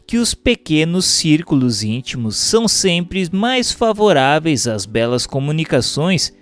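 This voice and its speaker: Slow-reading Brazilian man, formal narration. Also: fairly high pitch, sing-song. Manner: formal, measured, didactic. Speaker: narrator reading a book aloud. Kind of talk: scripted audiobook-style narration of a doctrinal text.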